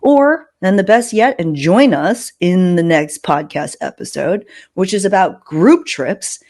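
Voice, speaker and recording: Lower-pitched woman, casual podcast host. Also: expressive, a little slow, a little mellow. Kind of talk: casual conversational podcast talk.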